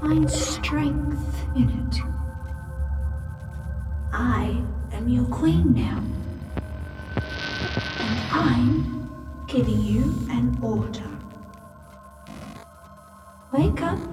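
A menacing young queen in a sci-fi audio drama, her voice drenched in echo over music. She speaks very slowly in a fairly high register, coaxing and commanding like a possessive sister. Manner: slow, deliberate, coaxing, commanding. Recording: echoing, distant voice under music.

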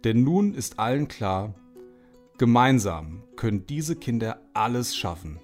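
A man's voice: mid-range voice, big pitch swings, unhurried. A man narrating a children's audio drama in a scripted storytelling style. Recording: guitar music under the voice. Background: soft plucked guitar music.

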